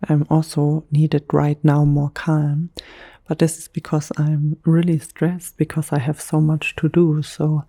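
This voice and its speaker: A German woman, a native German speaker talking in English, whispering softly in a gentle ASMR chat. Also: deep voice, monotone, no room sound, clean studio sound. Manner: soft, slow, unhurried whisper with gentle pauses. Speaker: ASMR creator.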